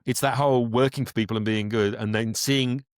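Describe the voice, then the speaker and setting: A man's voice: medium pitch, steady tone, a little fast, clean tone, dry recording, clean studio sound. A man speaking as an interview guest, a voice actor explaining his work in a reflective, conversational way.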